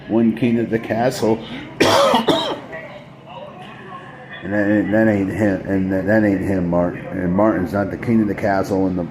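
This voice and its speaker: A man's voice: on the low side, low-quality recording. A man live-streaming late at night, chatting casually with his viewers while losing his voice, rambling and repeating himself with frequent chuckles. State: losing his voice, dry throat.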